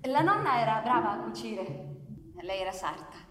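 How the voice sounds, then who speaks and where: A shy polite young lady, really high voice, hesitant, hall reverb with music under. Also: big pitch swings, moderate pace, a bit bright. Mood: coy, hesitant. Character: shy, courteous, smitten young woman. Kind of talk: theatrical radio drama acting. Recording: echoey hall, music behind.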